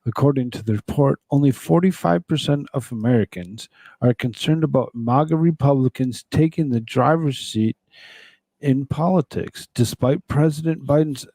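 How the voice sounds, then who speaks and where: A man's voice: mid-range voice, warm tone, no echo. A man hosting a political talk show, reading news items aloud and commenting on them in a rambling, conversational way.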